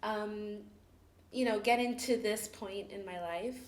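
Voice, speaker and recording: A woman in her thirties, a guest on a chat podcast, tells her story in a loose, chatty way. She fills her talk with "like" and "you know" and laughs easily. Her voice sits in a middle pitch and rings in an echoey room. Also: takes it slowly. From Cameroon, raised in the UAE. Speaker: interview guest. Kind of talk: casual personal storytelling.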